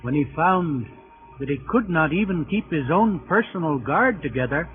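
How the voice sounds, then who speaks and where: A man's voice: a little high, expressive, normal speed, soft background music. A man narrating a 1930s radio drama in a formal, clipped storytelling manner. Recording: tinny 1930s radio recording.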